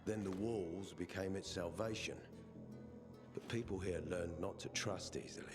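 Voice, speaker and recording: An actor playing a stern male peacekeeper officer who speaks in a firm, measured, commanding way. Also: deep voice, lightly acted. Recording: game cutscene with music bed.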